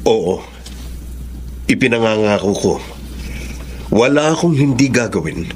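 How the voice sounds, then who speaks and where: Casting: earnest Filipino lover, radio drama, deeper register, faintly noisy recording. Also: male voice, lively intonation, unhurried, darkish tone. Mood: earnest devotion. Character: devoted male lover, earnest and eager for acceptance.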